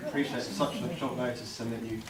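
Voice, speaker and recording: A man with a croaky voice giving his groom's speech, soft-spoken and halting. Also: mid-range voice, steady tone, a loud crowd. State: hoarse. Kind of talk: wedding speech. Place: large reception hall. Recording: roomy, distant, noisy home video.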